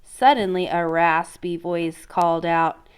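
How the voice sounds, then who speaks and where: A woman teacher reading a storybook aloud in an expressive, instructional way, prompting listeners with questions. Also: lowish voice, measured pace, clean tone. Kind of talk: interactive storybook read-aloud lesson.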